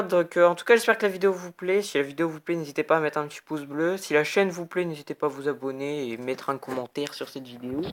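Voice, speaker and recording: A man's voice: a little high, slight background noise. Young guy with a cold, chatty, rambles thru his game, lots of "hop" and "tac". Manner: rambling, full of "hop", "bam", "tac" sound words. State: has a cold, sniffly. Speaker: gaming YouTuber. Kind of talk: casual gameplay commentary.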